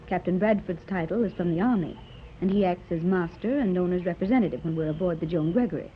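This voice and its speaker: A woman's voice: on the low side, fast, close to an everyday voice. An actress playing a wealthy, gracious and anxious mother in a 1930s radio serial, earnest and refined. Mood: gracious, composed. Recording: dull, noisy 1930s radio recording.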